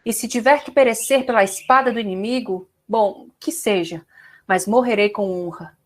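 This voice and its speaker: A woman's voice: mid-range voice, normal speed, natural voice. A woman playing a brave, dutiful young male warrior in an audio drama, earnest and resolute.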